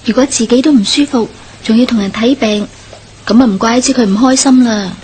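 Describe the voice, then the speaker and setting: A woman's voice: a little high, a little fast, darkish tone, slight background noise, close to an everyday voice. An actress playing an uneasy, impatient village woman who nags and frets in a Hong Kong Cantonese horror radio drama. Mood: uneasy, fretful. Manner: fretful, nagging.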